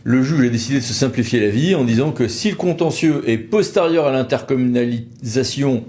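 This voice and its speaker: A man's voice: mid-range voice, a little fast, a little mellow. Conversational man explaining legal rules. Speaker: legal expert from a law firm presenting a video.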